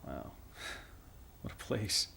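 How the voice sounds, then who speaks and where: A man playing an uneasy storyteller in an audio drama, recounting a creepy encounter in a halting, conversational way and repeating himself. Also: sharp tone, a little hiss or hum. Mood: bemused, casual. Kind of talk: audio drama acting, rambling recollection.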